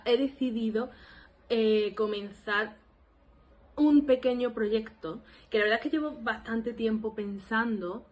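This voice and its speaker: A woman in her twenties from Spain with an Andalusian accent, rambling casually to her camera as she introduces her language vlog. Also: mid-range voice. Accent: Andalusian Spanish. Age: 20s. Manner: rambling and self-deprecating, lots of laughs and fillers. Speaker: language learning vlogger.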